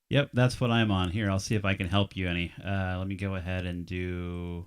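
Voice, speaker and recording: A man's voice: low-pitched, fairly even intonation, normal speed, very noisy. A man running a live ham radio stream, chatting with a contact about signal reports and his audio settings. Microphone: studio microphone.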